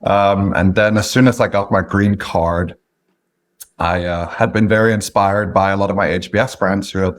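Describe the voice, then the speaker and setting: A man in his late thirties from Norway with a Norwegian accent, a guest in an interview who speaks in a measured way with frequent pauses. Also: low voice, steady tone. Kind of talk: interview conversation.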